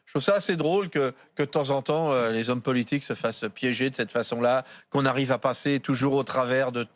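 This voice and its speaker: A man speaking as a radio panellist, impassioned and halting, often repeating words for emphasis. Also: mid-range voice, no room sound. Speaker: talk show panellist, writer.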